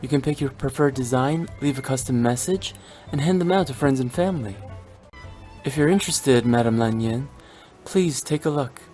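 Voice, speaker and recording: Casting: actor as young male game adventurer, easygoing, mid-pitched, music clearly behind him. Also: normal speed, mellow, close to an everyday voice. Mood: friendly, calm. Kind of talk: animated game dialogue.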